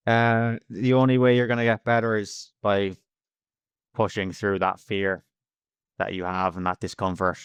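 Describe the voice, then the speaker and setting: Low, flat-toned Irish man. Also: unhurried, clear, resonant tone, dry recording. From Ireland. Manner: plain-spoken, slangy, blunt. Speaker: podcast guest, freelance cold caller. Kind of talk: casual interview answers.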